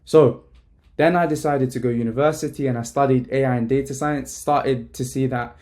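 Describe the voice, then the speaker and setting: A young man from Palestine, talking to the camera in a casual, emphatic vlog style, with slang and a coach's pitch. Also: steady tone, moderate pace, a little mellow. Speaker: online business coach.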